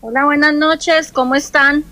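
A woman's voice: high-pitched, fairly even intonation, normal speed, pushed and bright, dry recording. A woman from Colombia, calling into a live stream, speaking shyly and hesitantly. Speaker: caller.

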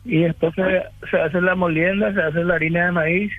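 A man from Paraguay calling a radio show, explaining a recipe in a halting way and repeating himself. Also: high voice, fairly even intonation, no room sound, soft background music. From Carapeguá, Paraguay. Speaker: radio caller. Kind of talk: explaining a recipe on a phone call. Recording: tinny phone line.

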